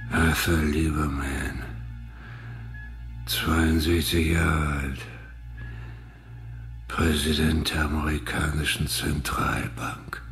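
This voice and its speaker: An actor playing a gravelly, broken, terminally ill banker in his sixties in a radio drama. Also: rumbling low, little pitch movement, takes it slowly, long pauses, a bit bright, soft background music, exaggerated voice. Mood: bleak, weary. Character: terminally ill sixty-two-year-old American central banker, broken.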